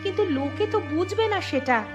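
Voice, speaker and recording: A woman's voice: very high-pitched, expressive, moderate pace, lo-fi sound, music in the background. A woman voicing an outspoken shopkeeper's wife in a village cartoon, pointed and dramatic. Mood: worried, reproachful. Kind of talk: cartoon voice acting.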